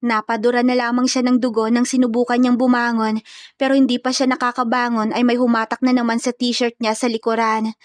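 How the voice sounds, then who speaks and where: A woman's voice: on the high side, little pitch movement, fairly quick, no room sound, clean studio sound. A woman reading scripted narration for a romantic action comedy story.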